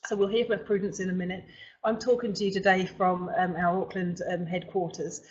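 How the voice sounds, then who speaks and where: Muffled webinar sound, woman hosting, pretty flat intonation, stops and starts mid sentence, informal presenting. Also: on the low side. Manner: halting, with frequent pauses mid-sentence. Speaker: webinar host for a recruitment company. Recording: dull, lo-fi webinar audio.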